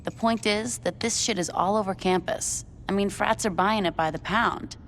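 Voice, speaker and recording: A laid-back, wisecracking young gang member, played by a woman in a game, casual and deadpan. Her voice sits at a middle height for a woman. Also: medium pitch, moderate pace, slight background noise, lightly acted.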